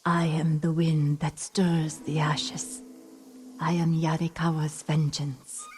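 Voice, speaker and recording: A woman playing a vengeful, ghostly assassin in a video game, speaking in an ominous, deliberate, poetic manner. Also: low-pitched, flat delivery, a little mellow. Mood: cold, vengeful.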